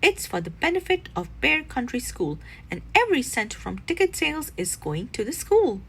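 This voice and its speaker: Boisterous papa bear for a storybook, acted by a woman: emphatic, hammy, very animated, slightly husky. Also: high-pitched, normal speed, exaggerated voice. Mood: enthusiastic.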